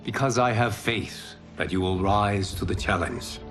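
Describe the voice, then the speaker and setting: A grave, formal fire god, in a game cutscene. He speaks slowly in a low, even voice. Music plays behind him. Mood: solemn. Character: calm solemn male fire god, Liu Kang. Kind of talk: video game cutscene acting.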